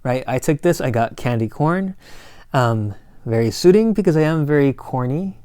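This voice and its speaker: Male lecturer, slightly hoarse, mellow and smooth, casual with corny asides, dry close mic. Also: mid-range voice, lively intonation, moderate pace, no room sound. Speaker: college instructor. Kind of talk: recorded lecture.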